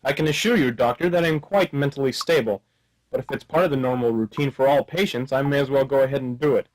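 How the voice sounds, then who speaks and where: A man's voice: medium pitch, quick pace, close to an everyday voice, rough recording. A man playing a naive, polite and rather dim hero in a comic radio play, speaking in a guileless, earnest way. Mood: bewildered, compliant. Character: naive, polite, dim-witted male hero held as patient.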